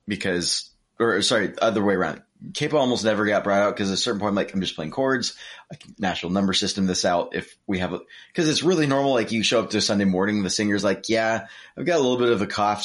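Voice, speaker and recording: A man chatting casually in an easygoing way, acting out bits of dialogue as he tells anecdotes. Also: low voice. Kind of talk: casual chat.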